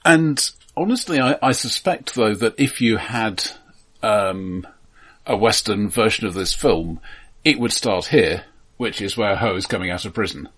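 A man casually recapping a film as a podcast co-host, halting and wry. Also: fairly low pitch, lively intonation, a bit bright.

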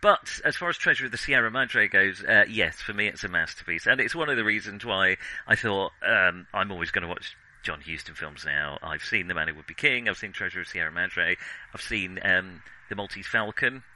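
A man's voice: low voice, expressive, fairly quick, hardly any pauses, bright, forceful tone, low-quality recording. A man co-hosting a podcast, discussing films casually in a hesitant, discursive way that often corrects itself. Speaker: podcast co-host.

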